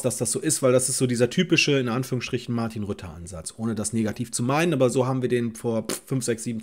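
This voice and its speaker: A middle-aged man sits at his desk webcam in a home office and talks through dog training advice. His delivery is casual and unscripted. He thinks aloud, with frequent fillers and restarts as he works out his answer. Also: medium pitch. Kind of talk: advice video, reading and answering a viewer letter. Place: home office with bookshelves, indoors.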